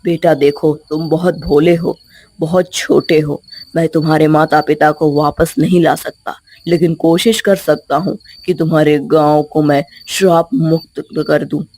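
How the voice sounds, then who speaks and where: A woman's voice: low voice, little pitch movement, unhurried, warm tone, voice pushed down. A woman voicing a stern, fearsome old male holy man in a Hindi storybook tale, grave and talking down to a child. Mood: softening, grave. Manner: grave, challenging, speaks down to a child.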